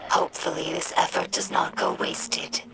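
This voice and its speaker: A woman voicing an earnest young alien scribe in a video game log, speaking gently and carefully in a whispery, creaky voice. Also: moderate pace, very noisy, lightly acted. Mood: earnest, hopeful. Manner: gentle, careful, storytelling delivery. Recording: voice over game music.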